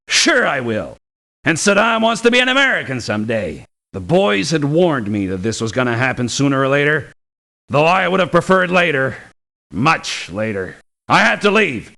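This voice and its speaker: Swooping, sardonic mercenary. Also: male voice, a little high, vocal fry, sharp tone, heavily acted. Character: cocky, scornful male mercenary soldier for hire. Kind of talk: video game voice lines.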